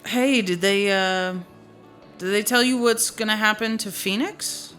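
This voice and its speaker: A woman's voice: medium pitch, unhurried, fairly bright tone, faint music behind, natural voice. A woman acting a wry, dry-humored drinking friend in a naturalistic radio drama.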